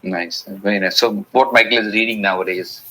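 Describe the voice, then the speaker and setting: A man's voice: fairly low pitch, steady tone, moderate pace, pushed and bright. A man hosting an interview, asking loosely phrased questions between frequent backchannels. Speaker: interview host.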